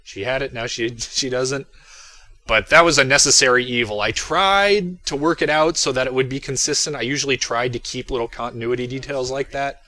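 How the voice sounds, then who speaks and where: A man's voice: medium pitch, brisk, bright, forceful tone. A man giving casual, chatty commentary on his own work, wandering into self-amused asides. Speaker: creator doing episode commentary. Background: music playing underneath.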